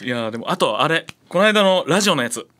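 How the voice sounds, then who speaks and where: A man's voice: on the high side, sing-song, fast, bright, forceful tone. A man with a Kansai Japanese accent hosting a radio show in a casual, chatty style.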